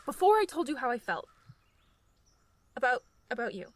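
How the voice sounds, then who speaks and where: Actress as a high-voiced, sardonic college girl. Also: big pitch swings, moderate pace, no echo. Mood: defensive, nervous. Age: young adult. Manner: wry, blunt, teasing. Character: sardonic, stubborn, awkwardly lovestruck college girl. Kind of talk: audio drama acting.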